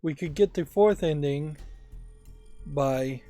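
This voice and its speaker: A man's voice: fairly high pitch, slow pace, dark tone, faint music behind. A man giving let's play commentary, thinking aloud in a musing, tentative way.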